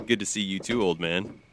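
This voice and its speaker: An actor playing an evasive, wry fugitive agent in an audio drama, with a hesitant, stammering delivery. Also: male voice, low-pitched, fairly bright tone, slight background noise. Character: evasive injured male fugitive agent, wryly friendly.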